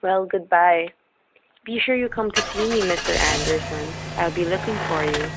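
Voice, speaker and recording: A woman's voice: lowish voice, fairly even intonation, normal speed, heavy background noise, lightly acted. An actress playing a chatty, folksy old woman who runs a roadside diner in a radio drama, with homespun, rustic speech. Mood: friendly. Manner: gabby, homespun, rustic grammar. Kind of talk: old-time radio character acting. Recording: narrow, tinny vintage radio recording.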